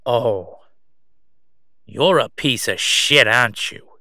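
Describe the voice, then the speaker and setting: A man's voice: medium pitch, slow, sharp tone, no echo, studio quality. A man playing a cocky, wisecracking crime boss with sneering, folksy gangster patter in an audio roleplay. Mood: smug, taunting.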